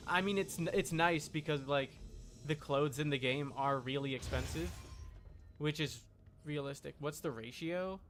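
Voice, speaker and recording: A man giving casual, deadpan joking live commentary while playing a video game. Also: fairly high pitch, moderate pace. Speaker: game streamer. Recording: clear background noise.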